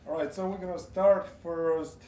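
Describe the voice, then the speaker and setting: A man's voice: on the high side, fairly even intonation, moderate pace, mellow, distant mic, slight background noise. A man interviewing a musician, asking short questions with hesitant restarts. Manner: brief reactions, hesitant restarts. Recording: echoey, from off-mic.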